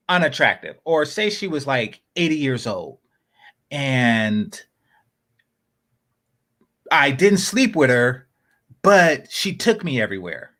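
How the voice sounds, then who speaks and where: A man talking in a casual podcast chat, rambling with many fillers and restarts, often dropping into low, quiet asides.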